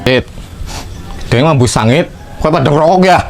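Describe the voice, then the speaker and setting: A man with a Tegal Javanese accent playing a suspicious, bossy employer in a comedy skit, scolding and giving quick orders. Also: on the high side, faint music behind, natural voice. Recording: noisy amateur clip.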